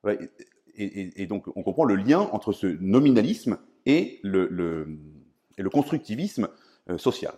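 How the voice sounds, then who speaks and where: A man from France lecturing on philosophy in a didactic, emphatic way, often pausing to search for words. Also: on the low side, very animated, dark tone. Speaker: priest and philosophy professor giving a lecture. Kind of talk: public philosophy lecture, semi-improvised.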